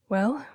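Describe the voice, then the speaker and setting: A woman playing a casual, blunt heartbreaker friend in an intimate audio roleplay, talking conversationally with hesitant, expressive delivery. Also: dry recording. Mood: flustered, resigned. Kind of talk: intimate one-sided audio roleplay, naturalistic dialogue.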